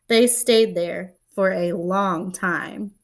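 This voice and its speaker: A woman reading a children's picture book aloud, expressively, pausing to explain and put questions to young listeners. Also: lowish voice, fairly even intonation. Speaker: read-aloud storyteller and teacher-like reader.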